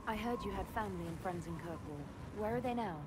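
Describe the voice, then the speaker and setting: A woman's voice: mid-range voice, quick pace, heavy background noise, close to an everyday voice. An actress playing a composed, direct woman leader in a video game, with a dry, matter-of-fact manner. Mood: inquiring.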